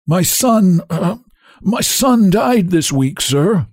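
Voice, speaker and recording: A man voicing a humble, grieving old sledge driver from a storybook, halting and meek, with a husky, strained voice. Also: high voice, lively intonation, a little mellow, no echo, studio quality, pitched above the performer's own voice, exaggerated voice. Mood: sorrowful, meek.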